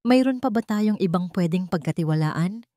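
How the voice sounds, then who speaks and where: A woman's voice: on the low side, animated, moderate pace, dark tone, dry recording, close to an everyday voice. A woman narrator plays a guarded protector with a Filipino accent, urgent and firm, giving instructions to a hunted friend in an audio story. The recording is dry and close. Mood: probing.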